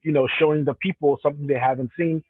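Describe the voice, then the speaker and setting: A man's voice: on the high side, fairly even intonation, fast talker, husky, no echo, phone-quality sound. A professional wrestler from Cameroon, a man answering interview questions in a casual, rambling way with frequent restarts. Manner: rambling, many restarts and self-corrections.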